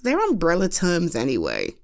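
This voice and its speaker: A woman's voice: lowish voice, huge pitch swings, moderate pace, gravelly. A British woman in a casual roleplay monologue, chatty and rambling, reassuring a friend with self-deprecating humor and casual swearing. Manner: swears casually, laughs often. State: tired. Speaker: audio roleplay performer.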